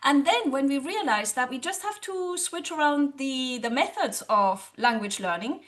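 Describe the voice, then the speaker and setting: High-voiced woman, earnest and animated. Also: moderate pace. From Germany. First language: German. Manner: earnest, animated, often acts out her own past thoughts. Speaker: guest, social psychologist and language coach. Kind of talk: interview conversation.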